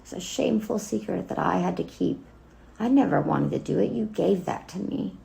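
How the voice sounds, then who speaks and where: A woman acting a wounded, bitter grown daughter in a dramatic monologue, speaking haltingly and emotionally with a gravelly voice. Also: lowish voice, animated, mellow. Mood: bitter, hurt. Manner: halting, emotional, with wry laughs and swearing. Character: bitter, wounded grown daughter addressing her dead mother. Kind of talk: dramatic monologue cold read.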